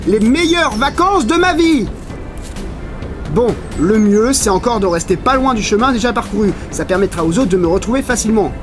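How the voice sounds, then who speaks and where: A man's voice: sits high, expressive, normal speed, over music. A man playing a lost holidaymaker muttering sarcastically to himself in a comedy audio drama. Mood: sarcastic grumbling. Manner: sarcastic, self-talking. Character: lost stranded holidaymaker grumbling and cheering self on.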